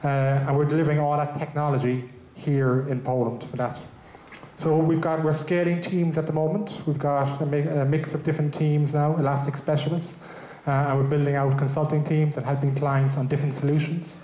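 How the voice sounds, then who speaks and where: A man's voice: on the high side, flat delivery, fairly quick, mellow, a little hiss or hum. An Irish man in his thirties opening a tech meetup as host, speaking loosely into a handheld mic with many fillers and restarts. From Ireland. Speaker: event host, head of technology. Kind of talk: welcome speech at a tech meetup. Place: large event room with a small stage. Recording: echoey event room.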